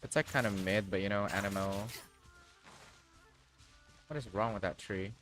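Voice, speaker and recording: A man's voice: lowish voice, normal speed, fairly bright tone, soft background music. A man livestreaming a game with casual, slangy, offhand commentary.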